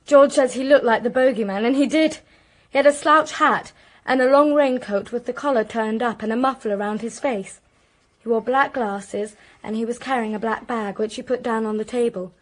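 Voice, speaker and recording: An actress playing an anxious, articulate young British woman in a radio drama, with a precise, expressive delivery. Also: on the high side, normal speed, natural voice. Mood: tense recounting. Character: anxious, articulate young niece recounting a crime.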